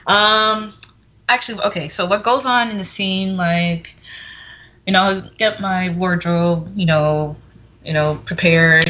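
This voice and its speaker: A woman in her twenties chatting candidly and frankly as an interview guest, often giggly. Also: fairly low pitch. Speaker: adult film performer guest being interviewed. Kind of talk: casual, candid interview chat. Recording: dull, muffled sound with background noise.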